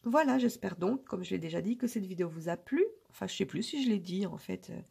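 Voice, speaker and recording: Hoarse, gravelly woman vlogging casually. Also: mid-range voice, lively intonation, warm tone. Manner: chatty, rambling, many filler pauses. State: hoarse from a cold. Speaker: budgeting vlogger. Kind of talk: casual chat to viewers.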